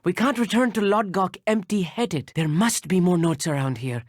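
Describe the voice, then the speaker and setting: An actor playing an earnest, bookish teenage schoolboy wizard in a video game, polite and a little nervous, with precise, slightly formal speech. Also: male voice, high voice, moderate pace, no echo, clean studio sound. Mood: earnest, friendly. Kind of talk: scripted video game dialogue.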